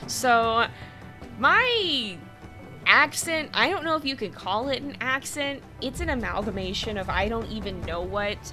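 A woman's voice: on the high side, animated, a little slow, music in the background. A woman streaming a game, chatting casually with her viewers and her game, laughing often, with a mixed American accent that slips toward British. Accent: mixed American, slightly British-tinged. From California. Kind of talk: casual game livestream chat.

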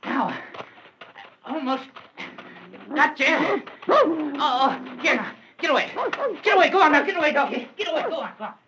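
An actor playing a harassed, excitable, folksy rural constable in a 1940s radio comedy sketch. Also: male voice, very high-pitched, normal speed, pauses often. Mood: frantic. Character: harassed, exasperated male rural constable chasing a heifer. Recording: dull old radio recording, noisy.

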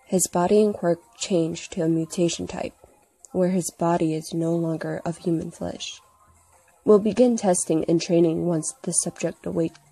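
Woman as a mellow, detached young villain man. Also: lowish voice, vocal fry, slightly rough, heavily acted, rough recording. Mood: calm, satisfied. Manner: formal, measured, explanatory. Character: cool, detached young male villain reporting experiments. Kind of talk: comic dub.

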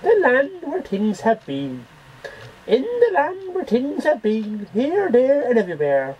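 A man's voice: very high voice, wildly swooping pitch, a little slow, husky, pitched above the performer's own voice, full character voice. A man voicing an earnest, theatrical poetry reciter in an amateur home recording. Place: small cluttered bedroom. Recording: dull noisy webcam audio.

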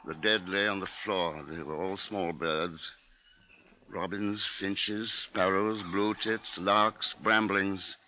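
An actor playing a grave, observant husband and father, narrating in a measured, deliberate 1950s radio drama style. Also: sits low, fairly even intonation, pushed and bright, slight background noise, exaggerated voice. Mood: somber dread. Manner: measured, deliberate, literary delivery.

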